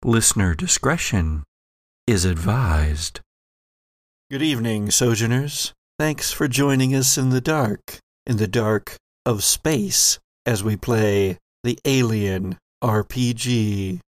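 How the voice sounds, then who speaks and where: A man hosting and narrating a tabletop horror game in a measured, theatrical way. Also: mid-range voice, no echo, studio quality. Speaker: game master and podcast host.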